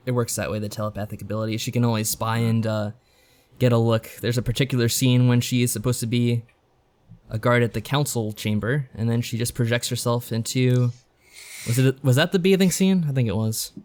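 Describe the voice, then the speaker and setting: A man's voice: mid-range voice, steady tone, fairly quick, darkish tone. Casual male podcast co-host. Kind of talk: casual chat reviewing visual novels.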